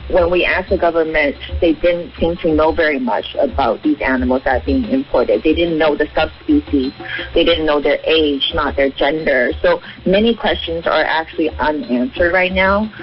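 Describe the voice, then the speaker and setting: A man's voice: fairly high pitch, moderate pace. A man explaining things informally in a radio interview, in the manner of an advocate. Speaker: animal welfare advocate being interviewed. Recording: muffled narrowband shortwave radio, music behind. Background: music.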